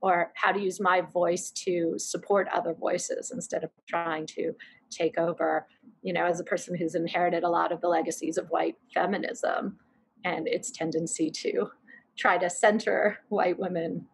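A woman's voice: lowish voice, flat delivery, normal speed, vocal fry, breathy edge, poor audio. A woman in her thirties facilitating a reflective group discussion over a video call. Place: living room, video call. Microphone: computer mic on video call.

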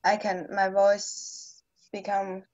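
A woman's voice: mid-range voice, monotone, darkish tone, no echo, highs cut off. Hesitant teenage girl on a video call. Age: teens. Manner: halting, searching for words, simple grammar. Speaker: high school English student. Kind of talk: conversation practice in a lesson. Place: small indoor room at home. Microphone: laptop webcam on video call.

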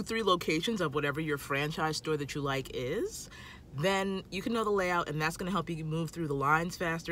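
A woman's voice: sits low, sharp tone, a little hiss or hum. A woman in her thirties giving casual tips to camera from inside a parked car, emphatic and talking with her hands, filmed on a phone at arm's length. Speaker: food vlogger.

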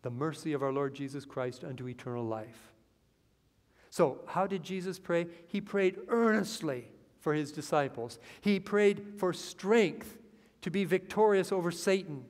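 A middle-aged man preaches from a church pulpit with a somewhat high voice. He talks earnestly and builds into excitement over scripture. A long reverb hangs in the sanctuary around him. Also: a little slow. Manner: earnest preaching that swells with excitement, lines read from the Bible. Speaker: pastor. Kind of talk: sermon.

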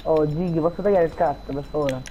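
A man's voice: on the high side, fairly even intonation, fast talker, lo-fi sound, slight background noise. A man chatting casually in online game roleplay over voice chat. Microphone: gaming voice chat.

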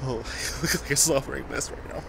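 An actor playing a grieving young male musician, who talks softly and tearfully, with choked breaths and small laughs. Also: mid-range voice, fairly even intonation, fairly quick, gravelly, background noise, natural voice. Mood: grateful, hushed. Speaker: voice actor in an audio roleplay. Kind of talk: emotional audio roleplay acting. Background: loud music underneath.

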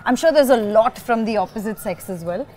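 A woman's voice: a little high, lively intonation, brisk, darkish tone. A woman interviewer walking a city street, asking long, chatty questions and laughing easily. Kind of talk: casual interview on a street walk.

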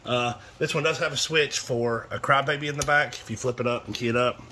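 A man's voice: medium pitch, steady tone, a little fast. A man giving a casual, rambling, matter-of-fact product demonstration, recorded on a phone in a small room. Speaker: seller demonstrating used radio equipment. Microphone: phone held near the radio. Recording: clear background noise, handheld phone.

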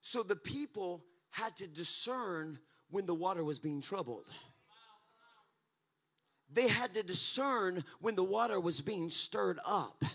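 Pastor in his 30s, high voice, fiery sermon, handheld mic on a church stage. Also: expressive, takes it slowly. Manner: fervent, rises to shouts, paces the stage. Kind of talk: energetic Pentecostal sermon. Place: church stage, mid-sized hall.

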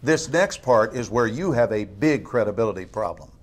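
An actor playing a controlled government agent interrogator in a game, measured, pointed and coolly sardonic. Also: male voice, mid-range voice, lively intonation, natural voice. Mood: coolly pressing. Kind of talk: cinematic game drama acting.

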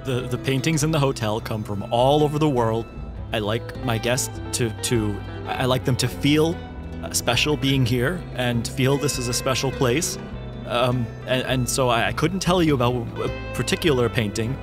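A man's voice: mid-range voice, normal speed, over music, close to an everyday voice. Man playing a stammering, cowed hotel proprietor. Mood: nervous, apologetic. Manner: stammering, repeats himself nervously. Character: flustered, apologetic male hotel proprietor, cowed and placating.

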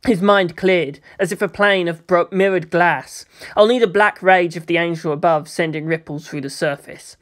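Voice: medium pitch, fairly even intonation, moderate pace, few pauses, fairly bright tone. A narrator reading a story aloud in a dramatic, scripted style. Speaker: audiobook narrator.

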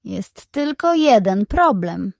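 A woman's voice: mid-range voice, big pitch swings, takes it slowly, creaky, darkish tone, no room sound, clean studio sound, exaggerated voice. A woman voicing a tall, glowing male guardian of dreams in a children's storybook, solemn, watchful and commanding. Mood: advising.

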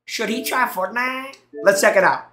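A man's voice: sits high, normal speed, a bit bright, roomy sound. A young man streaming on a headset mic in a small room, reacting to gameplay clips with teasing jokes and thanking his chat. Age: young adult. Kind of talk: casual live-stream commentary.

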